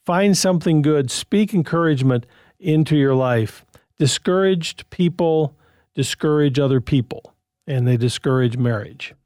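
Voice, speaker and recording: A man's voice: a little high, a little slow, a little mellow, no room sound, studio quality. A man hosting a call-in advice show on the radio, talking in a loose, conversational way and chuckling often. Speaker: radio host.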